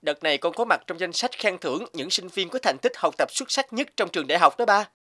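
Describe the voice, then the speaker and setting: A man's voice: high voice, expressive, fast, bright, forceful tone, no echo, studio quality, full character voice. A man playing a caring, polite university student son in a cartoon, speaking reassuringly. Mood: eager, caring. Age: young adult. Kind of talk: animated cartoon dialogue.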